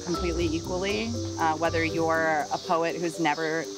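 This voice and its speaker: A woman's voice: low voice, flat delivery, moderate pace, over loud music. A woman, a resident artist, talking earnestly in an interview.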